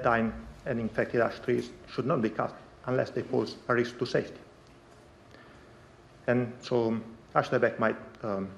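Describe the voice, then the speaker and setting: A man presenting a scientific conference talk in a lecture hall, measured and pausing often with ums. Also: medium pitch, vocal fry, slight background noise. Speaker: conference speaker.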